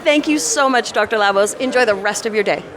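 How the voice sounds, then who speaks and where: A woman's voice: mid-range voice, fairly quick, a crowd in the background. A woman podcast reporter doing conference interviews and reporting.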